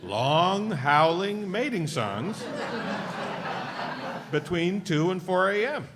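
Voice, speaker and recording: A man's voice: sits high, sing-song, slow, heavily acted. A middle-aged man acting a wisecracking, incredulous cartoon everyman on a stage microphone. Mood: excited. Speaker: actor at a table read. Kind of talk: live comedy script read. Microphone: stage microphone on stand. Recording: stage microphone with clear room noise.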